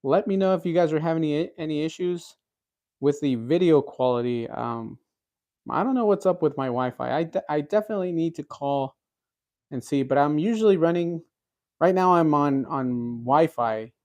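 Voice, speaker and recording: A man's voice: fairly high pitch, normal speed, darkish tone. Rambling man hosting a cooking livestream. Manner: rambling, many fillers and restarts. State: recovering from a cold. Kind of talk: casual livestream chat reading comments.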